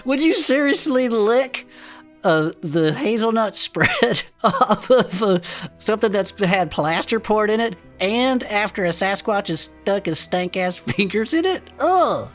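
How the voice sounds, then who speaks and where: A woman's voice: mid-range voice, lively intonation, unhurried, soft background music, natural voice. A woman playing a teasing, skeptical, slangy cryptid-club co-host who keeps interjecting with casual comic banter. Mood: mock disgust, teasing. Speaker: podcast co-host.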